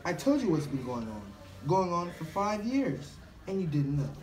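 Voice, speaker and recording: An earnest, intense romantic rival, voiced by a puppet-show performer, insisting on his claim. The delivery swings widely in pitch. It is recorded in a roomy space with the mic far off. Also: sing-song, normal speed, a little mellow, natural voice. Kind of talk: amateur puppet show reading.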